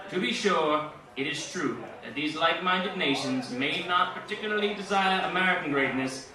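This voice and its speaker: An actor playing a pompous, smugly grand 1940s president giving a formal political speech as in old-time radio. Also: male voice, a little high, lightly acted. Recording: distant echoey hall with crowd behind.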